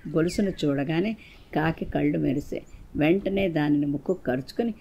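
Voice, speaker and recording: A woman's voice: sits low, normal speed, mellow, slight background noise. A woman narrating a scripted moral folktale for children as a storyteller.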